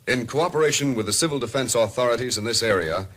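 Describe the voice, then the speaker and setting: A man announcing a mid-century radio public service programme in a formal, grave and deliberate manner. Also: medium pitch, expressive, fairly bright tone.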